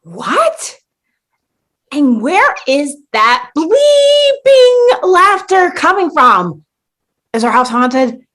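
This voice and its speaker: A woman's voice: high voice, big pitch swings, extremely slow, a bit bright, exaggerated voice. A woman playing a bewildered, exasperated sitcom newcomer in a comedic radio play, sarcastic and dramatically indignant. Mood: confused.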